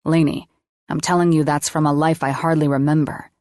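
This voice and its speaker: A swearing, wounded young male doctor, put on by a woman narrator, pushing his voice low and rough as he snaps back. The sound is close and dry, with nothing around it. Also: steady tone, brisk, darkish tone, no room sound, lightly acted. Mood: pleading, angry. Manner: growling, swearing, lowered voice.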